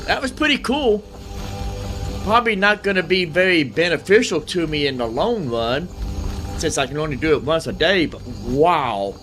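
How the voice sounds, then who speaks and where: A man's voice: on the high side, expressive, normal speed, a bit bright, rough recording. A man hosting a gaming video with casual commentary, thinking aloud and repeating himself. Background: game music.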